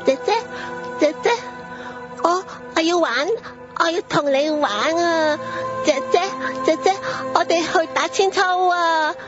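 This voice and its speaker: A woman with a Hong Kong accent playing a playful, pleading little girl in a radio drama. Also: very high voice. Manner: childlike, whining, halting. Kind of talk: Cantonese radio drama acting. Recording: dull lo-fi audio under music.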